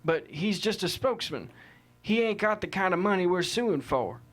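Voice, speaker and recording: A proud, stubborn male cattleman acted by a man at a table read, blunt and folksy in manner. His voice runs slightly high for a man, in a scripted drama style. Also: animated, normal speed, a bit bright. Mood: indignant.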